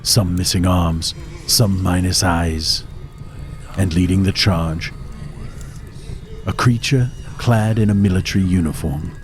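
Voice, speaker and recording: An actor playing a grim, haunted survivor, narrating gothic horror audio drama in a grave, dramatic way. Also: male voice, sits low, takes it slowly, long pauses. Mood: grim dread. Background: faint music.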